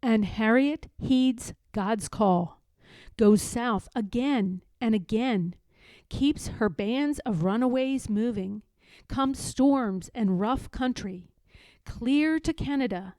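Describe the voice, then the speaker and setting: A woman reading a children's storybook aloud in a measured, expressive, deliberate storytelling style. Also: a little high, dry recording. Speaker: storybook reader. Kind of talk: expressive scripted read-aloud narration.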